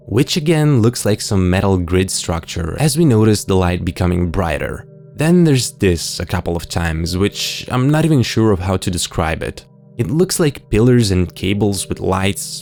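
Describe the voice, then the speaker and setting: A man narrating an analytical video essay in a scripted but conversational way, explaining and speculating with wry asides. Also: low voice. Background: soft music bed.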